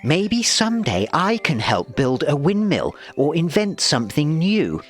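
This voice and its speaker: Man doing a high, wide-eyed young axolotl. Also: wildly swooping pitch, unhurried, soft background music. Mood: amazed, curious. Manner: eager, wondering, questioning. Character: young, curious, wide-eyed male axolotl, easily amazed. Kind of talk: children's storybook character voice.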